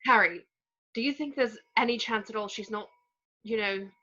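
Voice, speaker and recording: Woman as a worried young wizard boy, earnest, highish voice, lo-fi muffled audio. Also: normal speed, sharp tone. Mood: upset. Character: earnest, anxious schoolboy wizard worried for his sister. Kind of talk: amateur table read of a film script.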